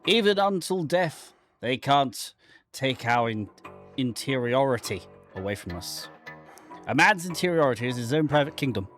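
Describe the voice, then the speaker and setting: A man's voice: medium pitch, expressive, a bit bright, faint music behind, lightly acted. A man voicing an anguished, brooding man who fled into the wilderness, read dramatically from a horror visual novel. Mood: grave. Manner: dramatic, literary, deliberate.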